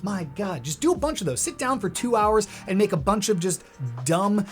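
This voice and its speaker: Higher-voiced man hosting a casual editing tutorial. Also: very animated, moderate pace, faint music behind. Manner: informal, joking, addresses viewers as guys. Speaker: host of a video editing tutorial series. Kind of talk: casual screen-recorded software tutorial.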